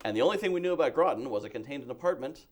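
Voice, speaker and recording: A man's voice: medium pitch, lively intonation, racing. A man from Massachusetts, a guest in an interview, telling self-deprecating stories in a chatty way. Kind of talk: casual interview talk.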